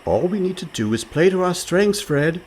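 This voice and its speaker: A man's voice: sits high, expressive, normal speed, a little mellow, slight background noise. An actor playing a cheerful, scheming and overconfident con man in a comic radio play, breezy and persuasive.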